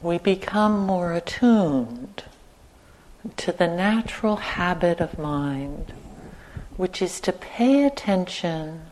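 A woman teaching guided meditation, speaking gently and leaving long pauses between short phrases. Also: deep voice, low-quality recording. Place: meditation retreat center.

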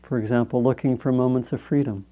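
A man guiding a meditation as a teacher, speaking in a measured, gentle way with long pauses between phrases. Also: medium pitch, fairly even intonation. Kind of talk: guided meditation instruction.

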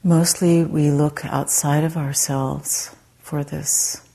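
A woman meditation teacher leading a guided meditation in a soft, breathy, gentle way with long pauses. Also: low voice, level tone.

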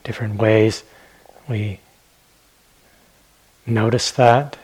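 A man teaching guided meditation in a gentle, unhurried manner, leaving long pauses between phrases. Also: lowish voice, fairly even intonation, husky.

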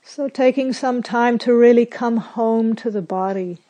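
A woman meditation teacher leading a guided meditation in a soothing, measured way, with pauses and phrases that end softly. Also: a little high, muffled recording.

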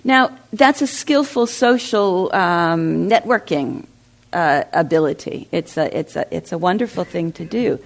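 Woman teaching meditation, a bit of vocal fry, asks the room lots of questions. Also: lowish voice, lively intonation, unhurried. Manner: reflective, asks the audience questions. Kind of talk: dharma talk. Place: meditation center hall.

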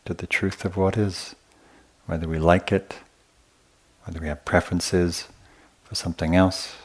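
A man teaching meditation in a gentle, unhurried way, often pausing between short phrases as he gives a guided talk at a retreat. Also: deep voice, level tone, slightly rough.

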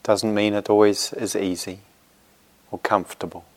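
A man leading a guided meditation as a teacher, speaking gently and reassuringly in short phrases with unhurried pauses. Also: lowish voice, level tone.

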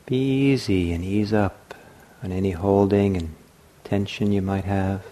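A man leading a guided meditation as a teacher, speaking gently and unhurriedly in short phrases with long pauses. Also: sits low, fairly even intonation.

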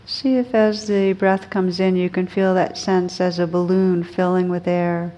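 A woman teaching meditation, guiding listeners gently and unhurriedly, with pauses between short phrases. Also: lowish voice, little pitch movement, a little hiss or hum. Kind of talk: guided meditation.

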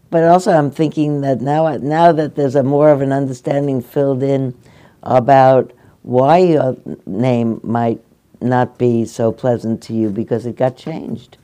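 Older woman, meditation teacher, mellow and gentle, halting delivery. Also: rumbling low, fairly even intonation. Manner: gentle, halting, restarts often. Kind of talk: dharma talk with audience questions. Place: meditation hall.

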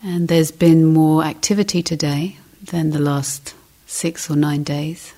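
A woman leading a guided meditation as a teacher, speaking softly and breathily in short, measured phrases with long pauses, often close to a whisper. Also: deep voice, steady tone.

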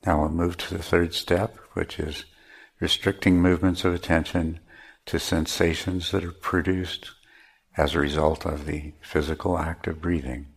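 A man teaching meditation, giving measured guided instructions with pauses between phrases. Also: deep voice, level tone, gravelly, slightly rough.

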